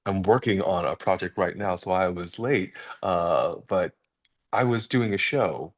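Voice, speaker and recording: A male actor and narrator speaking politely and haltingly on a panel, with many fillers. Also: sits low, distant mic. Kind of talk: panel chat.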